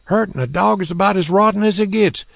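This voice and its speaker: A man's voice: sits high, quick pace, vocal fry, a bit bright, no room sound, voice pushed up. A man voicing an older townsman who scolds the others in an audiobook. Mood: scolding.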